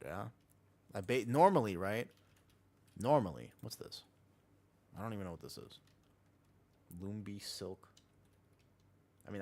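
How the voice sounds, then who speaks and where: A man chatting casually and slangily as he livestreams an online game, talking to his viewers and teammates in a laid-back way. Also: fairly low pitch. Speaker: live streamer playing an online game. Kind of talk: casual livestream chat while gaming.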